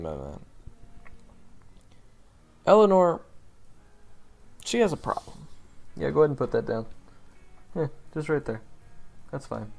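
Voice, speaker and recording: A gravelly, somewhat dark-voiced monster hunter acted by a man talks straight to his rookie in an audio roleplay. He is blunt but easygoing, halting often and leaving long pauses, with faint music behind him. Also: mid-range voice, full character voice. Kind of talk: audio roleplay acting addressed to the listener.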